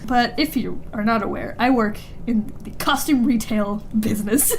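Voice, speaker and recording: A woman's voice: on the high side, normal speed, slight background noise. A young adult woman co-hosting a podcast in casual chat, giggly and dryly ironic. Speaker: podcast co-host.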